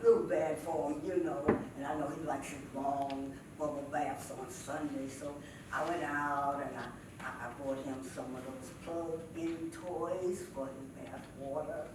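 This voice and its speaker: A woman's voice: low-pitched, steady tone, unhurried, hardly any pauses. Woman playing a sly old comedienne, echoey and distant. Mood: confiding, mock-innocent. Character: sly, mischievous old female stand-up comic, gleefully wicked widow. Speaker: stage performer impersonating a classic comedienne. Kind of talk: theatrical stand-up comedy monologue. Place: indoor stage performance, distant microphone. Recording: roomy, noisy stage recording from far off.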